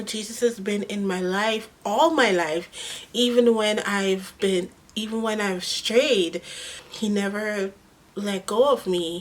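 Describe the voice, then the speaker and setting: A young woman from Guyana, talking unscripted to her vlog audience in a slow, earnest, reflective way. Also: medium pitch. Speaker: vlogger.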